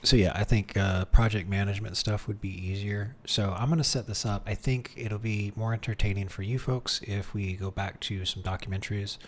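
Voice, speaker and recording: Deep-voiced man rambling on a livestream. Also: steady tone, a little fast, hardly any pauses, slight background noise. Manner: rambling, many restarts and fillers, muttering while reading. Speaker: streamer. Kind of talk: casual livestream chat, thinking aloud. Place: home streaming room.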